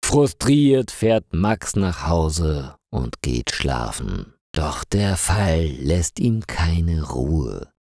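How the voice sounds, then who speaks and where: A man narrates a story in a lowish voice with a lot of rise and fall. He takes his time over each phrase, reading clearly and deliberately. The sound is dry and close. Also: darkish tone, no echo. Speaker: story narrator for German learners. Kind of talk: slow scripted story narration.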